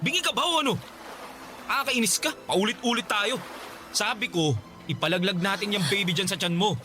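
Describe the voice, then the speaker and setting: A selfish, bullying young boyfriend with a strikingly high male voice. He speaks bluntly and with exasperation, in Filipino radio drama style. Loud noise sits behind him. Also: a little fast. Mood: cold, irritated.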